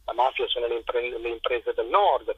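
A husky-voiced man is interviewed over a lo-fi phone connection. He speaks as a journalist, in a rhetorical, insistent way, building through repetition with halting phrases. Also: mid-range voice, no room sound. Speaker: journalist and stage narrator, interview guest. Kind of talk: impassioned phone interview about a stage show. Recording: dull, lo-fi telephone line.

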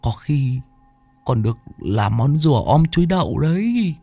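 A man's voice: a little high, big pitch swings, unhurried, gravelly, breathy edge, no echo, faint music behind, pitched above the performer's own voice, exaggerated voice. Man doing a timid chubby young sidekick. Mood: scheming. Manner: hushed stammering.

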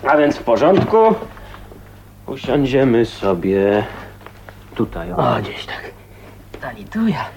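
An actor playing a gruff, chivalrous maverick in a radio drama, talking in a blunt, wry, colloquial way. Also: male voice, medium pitch, very animated, takes it slowly, pauses often, darkish tone, slight background noise. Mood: relaxed, wry. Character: gruff, casual, chivalrous maverick man, unbothered by reputation.

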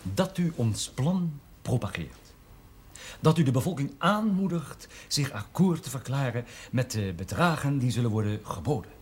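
A formal businessman with a mid-pitched, faintly husky voice. He speaks in measured, persuasive sentences, as in a period television drama. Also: lively intonation, a little mellow. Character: businesslike, persuasive man promoting a mining land deal.

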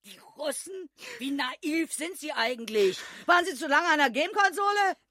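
An actress playing a sardonic, easily indignant police detective in a radio drama, questioning sharply and articulately. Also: female voice, high voice, lively intonation, moderate pace, bright, forceful tone. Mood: incredulous, pressing.

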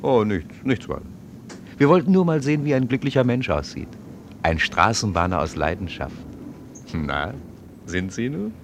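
Animated, low-voiced tram-ride storyteller. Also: male voice, moderate pace, noisy background. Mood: playful. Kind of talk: German radio play narration, addressing listeners.

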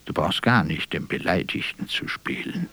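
An actor playing a faded old vaudeville performer in a radio play, vain and forgetful, talking theatrically and haltingly with a gravelly, husky voice. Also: male voice, sits low, expressive, bright, forceful tone, exaggerated voice. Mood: aggrieved, scolding. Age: older. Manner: theatrical, halting, breaking off and repeating himself. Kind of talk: radio drama monologue.

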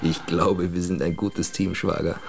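A man's voice: sits low, little pitch movement, a little slow, vocal fry, faint music behind. A man playing a restless, obsessed, persuasive character in a radio play, speaking with insistent emphasis. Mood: conspiratorial. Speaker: actor in a radio drama. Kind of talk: German radio play acting.

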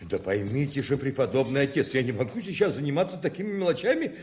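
An actor playing a flustered, obsequious, penny-pinching club manager in a radio drama, fussy, stammering and fawning. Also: male voice, mid-range voice, lively intonation, fast, a little mellow, natural voice. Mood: flustered, anxious.

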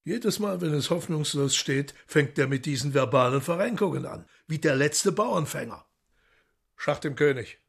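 An actor playing a commanding, sardonic devil in a radio play, with a raspy, gravelly voice and courtly, mocking manner. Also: male voice, a little high, lively intonation, normal speed, full character voice. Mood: amused scorn. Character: commanding, sardonic devil holding court among his retinue.

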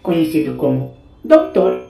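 Female briefing officer, acted by a woman, formal, expository, animated, roomy sound under loud music. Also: low voice, moderate pace, creaky, exaggerated voice. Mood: grave, informative. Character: formal female informant briefing a Time Lord. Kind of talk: audio drama acting.

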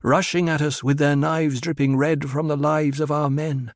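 A man's voice: a little high, flat delivery, normal speed, no echo, studio quality, pitched above the performer's own voice, natural voice. Priestess mother for audiobook, male narrator: grave, formal, commanding, somewhat raised voice. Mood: sorrowful.

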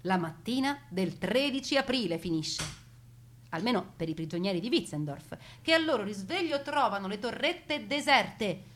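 A woman narrating family war memories in a theatrical, expressive way, with emphatic pauses. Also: medium pitch, slight background noise. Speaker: storyteller performing a narrative stage piece.

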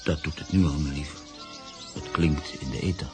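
Haunted cynical lover, very low gravelly voice, radio play narration, music underneath. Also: male voice, fairly even intonation, moderate pace. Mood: solemn, tender. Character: brooding, cynical man in love, haunted narrator. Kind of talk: radio drama acting and narration.

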